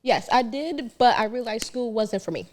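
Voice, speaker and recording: A woman in her thirties from Trinidad, a business founder talking as a podcast guest in a relaxed, candid way and laughing easily. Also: fairly high pitch, moderate pace, slight background noise. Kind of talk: casual podcast interview.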